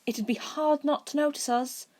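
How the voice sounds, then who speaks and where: A woman voicing a cheeky, eager teenage prankster in an audiobook, informal and brisk in manner. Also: sits high, fairly even intonation, darkish tone, pitched above the performer's own voice, lightly acted.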